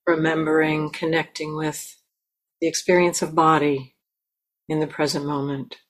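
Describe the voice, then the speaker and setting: A woman meditation teacher leading a guided meditation in a gentle, unhurried manner with long pauses. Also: deep voice, level tone, poor audio.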